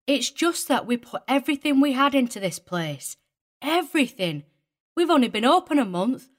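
A woman's voice: a little high, very animated, moderate pace. A woman playing a boisterous, bossy, aggrieved innkeeper's wife in a dramatised story. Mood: indignant. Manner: boisterous, exclamatory.

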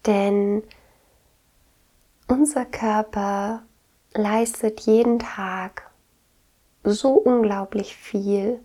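A woman hosting a reflective wellness podcast, congested with a cold, speaking gently and earnestly straight to the listener. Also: mid-range voice, fairly even intonation, very slow, pauses often. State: congested with a cold, stuffy nose. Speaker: podcast host.

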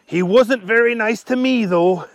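A man's voice: high voice, a little slow, no echo, pitched above the performer's own voice. A man voicing an eager, kindly young adventurer, earnest and colloquial, in a children's story. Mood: insistent.

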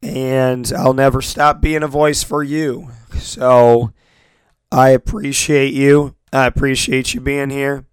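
Hoarse man hosting a sports radio show. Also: mid-range voice, level tone, slow, dry recording. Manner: earnest, repetitive, grateful, many restarts. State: losing his voice, hoarse. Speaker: sports talk radio host. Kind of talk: solo internet radio show monologue.

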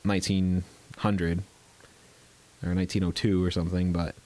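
A man's voice: low-pitched, level tone, moderate pace, darkish tone. A young man co-hosting a casual movie podcast, stuffy and scratchy from a cold, rambling with many fillers. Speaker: podcast co-host.

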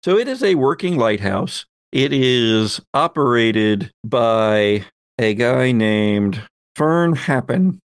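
A man acting as game master, narrating a tabletop story off the cuff with measured, hesitant pauses. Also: medium pitch, animated, clean tone, no room sound, studio quality.

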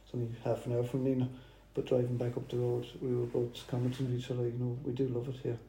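A man, a church planter, talking over dinner in a thoughtful, halting way. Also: medium pitch, completely flat delivery, dark tone. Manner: halting, thoughtful, restarts phrases. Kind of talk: casual dinner table chat. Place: dining room. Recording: roomy with faint noise.

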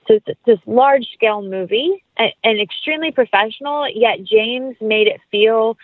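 A woman's voice: fairly high pitch, moderate pace, dry recording, phone-quality sound. An American woman speaking effusively and casually as a guest in an interview. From North Carolina, United States. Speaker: guest actress.

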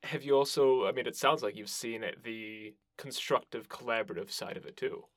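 A man with a mid-pitched voice hosts a podcast interview in a casual register. He phrases things thoughtfully and with measure, in a very dry recording. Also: a little fast, no echo.

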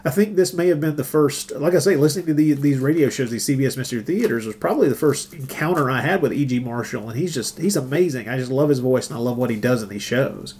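Middle-aged podcast host, chatty and enthusiastic, mid-pitched voice, relaxed conversational delivery. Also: fast, hardly any pauses. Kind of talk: casual discussion of old radio dramas.

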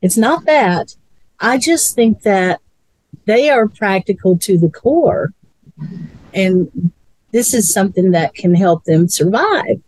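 Musing woman, slow and dry. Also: mid-range voice, expressive, a little mellow, rough recording. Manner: musing, repeats words while thinking. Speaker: co-host. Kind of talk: casual chat.

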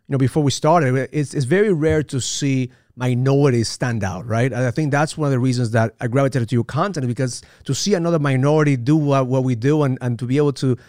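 Animated man hosting a podcast. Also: mid-range voice, no room sound, studio quality. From Ecuador. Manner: animated, repeats words when starting sentences. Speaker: podcast host. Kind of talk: casual interview.

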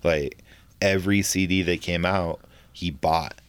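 A man's voice: low voice, fairly even intonation, measured pace, clean tone, no room sound. A man from Southern California in casual chat, telling stories in a laid-back way with slang.

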